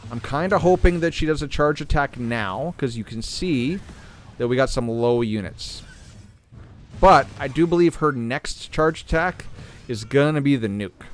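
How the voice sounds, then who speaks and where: A man hosting a gaming channel, talking casually through a game while playing, clearing his throat as he is losing his voice. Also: mid-range voice, measured pace, a little hiss or hum. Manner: relaxed, thinking aloud while playing. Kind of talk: casual gameplay commentary.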